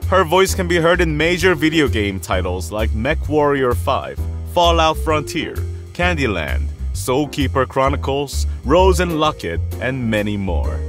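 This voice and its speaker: A man's voice: mid-range voice, big pitch swings, unhurried, faint music behind. A man hosting an interview podcast, speaking conversationally as he introduces and questions a guest. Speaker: podcast host.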